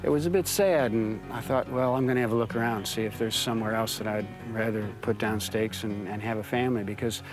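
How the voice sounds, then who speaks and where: A man's voice: lowish voice, a little fast, few pauses, music in the background, rough recording. An older man from Detroit with an American accent, a farmer and winemaker chatting casually and reflectively about his life. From Detroit, USA. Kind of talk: casual interview chat.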